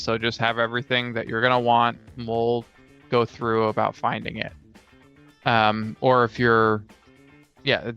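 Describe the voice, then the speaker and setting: Gravelly, bright-voiced man in casual chat. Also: mid-range voice, muffled recording. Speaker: tabletop game player, podcast co-speaker.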